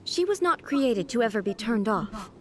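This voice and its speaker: Game goddess, female, composed, proud, contemplative, measured articulate speech, somewhat high pitch. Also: big pitch swings, crowd noise. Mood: calm, explanatory. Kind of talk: video game voice acting.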